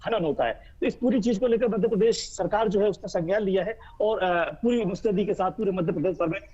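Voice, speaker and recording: A man's voice: sits high, fast talker, darkish tone. A man reporting live as a news bureau chief, speaking off the cuff in an emphatic, wordy, repetitive way. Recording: dull, muffled live link audio.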